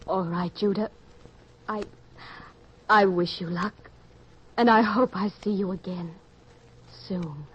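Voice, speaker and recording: An actress playing a sad young sweetheart in old-time radio style, with a breathy voice. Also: female voice, mid-range voice, slow pace, pauses often, background noise, natural voice. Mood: wistful, sad. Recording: muffled radio-play audio.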